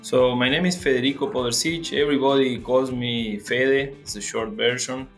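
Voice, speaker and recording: Young adult man from Argentina, guest expert, hesitant and restarting often, mid-pitched voice. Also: fairly even intonation, soft background music. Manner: halting, frequent restarts and fillers. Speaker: guest researcher. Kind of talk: interview.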